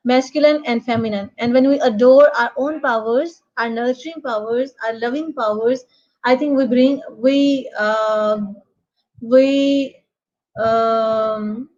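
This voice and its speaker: A woman with a Pakistani accent hosting an online show, speaking English as a second language in a halting, repetitive, effusive way. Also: a little high, a little mellow. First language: not English. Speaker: host. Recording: dull, lo-fi online call audio.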